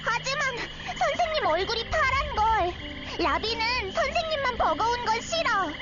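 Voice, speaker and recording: Squeaky, plucky little game girl. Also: lively intonation, normal speed, very noisy. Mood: earnest, determined. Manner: childlike, refers to self in third person. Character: plucky innocent young girl, cheerful, eager fighter. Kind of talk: anime-style game voice acting. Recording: slightly dull audio under loud music. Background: loud background music.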